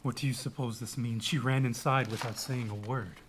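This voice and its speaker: A man playing a sober, questioning chorus elder with a husky voice, speaking in a measured way in a dramatic reading of a Greek tragedy. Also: mid-range voice, steady tone, close to an everyday voice. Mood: uneasy.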